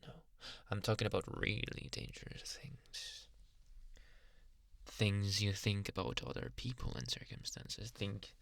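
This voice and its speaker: A man playing a possessive yandere psychiatrist in an ASMR roleplay, soft-spoken, polite and quietly menacing, with hushed, insinuating questions. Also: fairly low pitch, gravelly, no echo, heavily acted. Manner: slow, hushed, insinuating, many pauses and questioning hums. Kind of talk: ASMR roleplay, close whispering.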